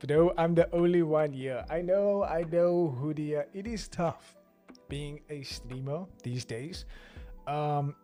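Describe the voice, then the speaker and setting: A man's voice: on the high side, takes it slowly, dark tone. A South African man in his twenties hosts a casual livestream, talking unhurriedly in a slightly raised voice. He rambles and jokes, talking straight to his viewers. Faint music plays under his voice. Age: 20s. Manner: joking, rambling, talks straight to chat. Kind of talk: casual live stream chat.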